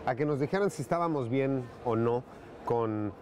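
A Mexican man in his thirties talks in a documentary interview. His voice sits at a medium pitch, and there is clear noise behind him. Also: moderate pace, darkish tone. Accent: Mexican Spanish. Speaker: horror film festival founder. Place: seated indoors in a colourfully lit public space.

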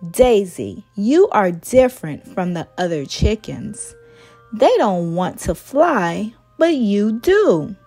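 A woman's voice: mid-range voice, big pitch swings, slow pace, dark tone, soft background music, heavily acted. A woman voicing a kind mother hen in a storybook, with a reassuring, instructive manner. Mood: encouraging.

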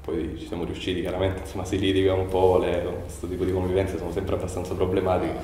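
A young man in his twenties answering interview questions in a measured, hesitant way, with many fillers and restarts. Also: deep voice, completely flat delivery, warm tone, slight background noise. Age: 20s. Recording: very echoey bare room.

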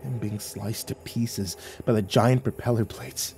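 A man narrating a first-person horror story in a tense, halting way with stammered restarts. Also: fairly low pitch, steady tone, a little mellow, slight background noise. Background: faint music.

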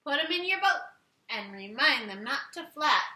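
A woman's voice: high-pitched, big pitch swings, moderate pace, bright, forceful tone. A woman in her thirties reading a children's story aloud expressively, giving play-along instructions to young listeners. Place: living room, indoors. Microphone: camera a few feet away. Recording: roomy living room echo.

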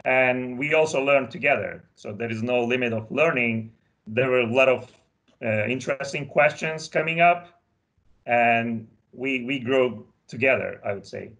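A man's voice: medium pitch, a little slow, fairly bright tone, poor audio. A man in his thirties from Bulgaria, whose first language is Turkish, speaking carefully as a guest on a video call and demonstrating equipment from his home office. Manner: careful, explanatory, handles equipment while talking. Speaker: product specialist guest. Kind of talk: technical demo and interview talk. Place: small home office, indoors. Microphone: webcam on video call.

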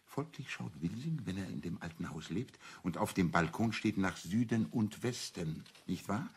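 Deep gravelly sleuthing priest. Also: male voice, animated, slight background noise. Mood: confident, explaining. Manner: deliberate, self-assured, didactic explaining. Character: shrewd priest and father confessor playing amateur detective. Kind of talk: German radio crime drama acting.

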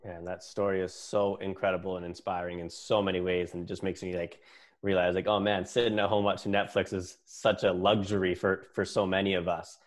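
A man's voice: low voice, level tone, fairly quick. A young man hosting an interview in a casual, chatty way. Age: young adult. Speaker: interview host. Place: room at home. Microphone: wired earbuds on a video call.

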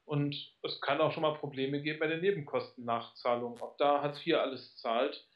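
Measured male host, distant dull sound. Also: on the high side, flat delivery. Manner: measured, asks pointed questions. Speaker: radio host. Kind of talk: interviewing on political radio.

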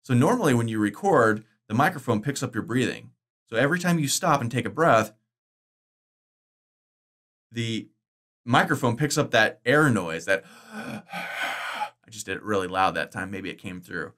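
A middle-aged man vlogging about course-making software, chatty and enthusiastic, his voice bass-boosted with the breaths filtered out. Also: animated, normal speed, long pauses. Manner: chatty, enthusiastic, loose with fillers and restarts. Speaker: online course creator. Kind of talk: casual explanatory vlog. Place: indoors, green-screen studio.

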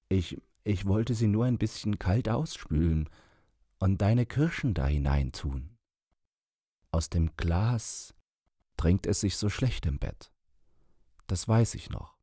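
A man voicing a clumsy, apologetic father who pleads with his son in a warm tone. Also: low voice, slightly rough, dry recording, clean studio sound, voice pushed up, heavily acted.

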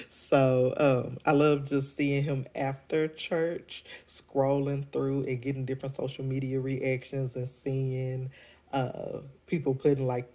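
A deep-voiced woman, congested from a cold, chats through a review into a phone held at arm's length. Her tone is dark and mellow, and she talks loosely with plenty of ums and quick laughs. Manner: chatty, laughs often, many ums. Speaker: show reviewer. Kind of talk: casual vlog review. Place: indoors at home.

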